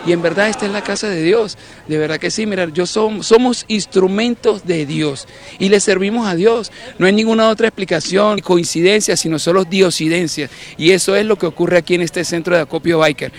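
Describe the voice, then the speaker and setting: High-voiced, emphatic man. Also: a little fast, some chatter behind. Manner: devout, emphatic, stumbles over words. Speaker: volunteer interviewee. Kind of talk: spontaneous interview answer.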